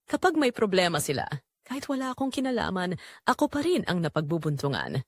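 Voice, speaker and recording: A woman's voice: on the low side, wildly swooping pitch, a little fast, no room sound, clean studio sound, full character voice. A woman with a Filipino accent playing a weary, anguished migrant-worker mother, telling her story in an emotive, confiding audio drama narration. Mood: weary, pained.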